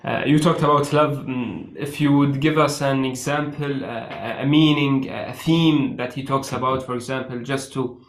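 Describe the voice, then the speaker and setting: A man hosting an interview, speaking in a measured, hesitant way with frequent fillers. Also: medium pitch, steady tone, reverberant, slight background noise. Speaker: interview host. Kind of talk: interview questions.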